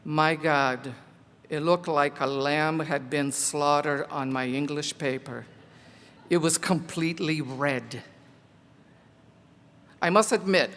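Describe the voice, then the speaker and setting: An older man whose first language is Portuguese, a teacher near the end of his career, giving a slow, earnest commencement speech. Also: on the high side, a bit bright. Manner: slow, deliberate, earnest delivery. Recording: echoey hall.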